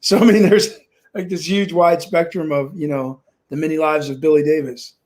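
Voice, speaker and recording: A man's voice: on the high side, steady tone, normal speed, darkish tone. A man in his forties from Kansas, telling his life story as an interview guest on a video call from home, upbeat and optimistic with frequent restarts. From Kansas, USA. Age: 40s. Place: home room.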